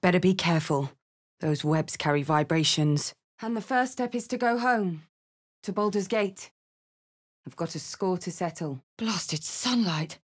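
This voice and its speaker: A woman's voice: lowish voice, lively intonation, a little slow. A woman playing a blunt, earthy, battle-hardened tiefling barbarian in a video game. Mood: guarded. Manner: blunt, earthy, salty-tongued. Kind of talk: video game character voice lines.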